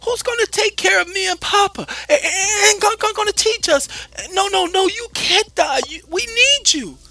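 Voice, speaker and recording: A man's voice: expressive, takes it slowly, gravelly, fairly bright tone, no room sound, heavily acted. A man voicing a frightened young boy who begs and stammers through tears. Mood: pleading, sobbing. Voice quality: high, sobbing, stammering.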